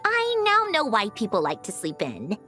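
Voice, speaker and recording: A woman's voice: medium pitch, huge pitch swings, moderate pace, pushed and bright, faint music behind. A woman voicing a prim, wry clockwork marionette in a video game, composed and formal, dryly teasing, aloof but fond. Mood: wry, confiding. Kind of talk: scripted video game character voice lines.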